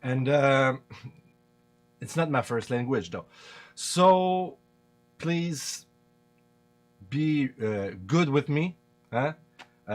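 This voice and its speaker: Québécois man in a slow, halting stream. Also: mid-range voice. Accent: Québécois French. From Quebec, Canada. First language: French. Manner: hesitant, many fillers and restarts. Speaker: streamer giving a tutorial. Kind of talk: casual live-stream tutorial.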